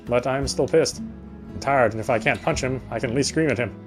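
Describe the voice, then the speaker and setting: A man's voice: medium pitch, brisk, over music. A man reading first-person visual novel text aloud as narration, stumbling now and then over words.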